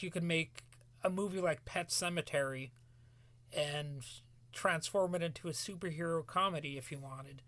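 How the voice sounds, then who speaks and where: A man talking solo in a rambling podcast commentary, halting and restarting often, his voice cracking as he loses it. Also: fairly high pitch, a bit bright, no echo. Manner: halting, many restarts and fillers. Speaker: podcast host.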